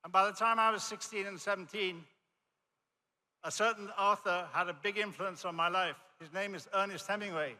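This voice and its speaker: Older Jamaican man, bright hall voice. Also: sits high, fairly even intonation, moderate pace. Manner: friendly, storytelling, self-deprecating. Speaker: commencement speaker. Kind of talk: commencement speech. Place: large hall. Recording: reverberant hall.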